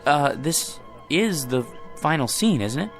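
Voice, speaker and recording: An actor playing a meek, devoted husband who stammers and hesitates, in a radio drama. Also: male voice, a little high, sing-song, unhurried, faint music behind.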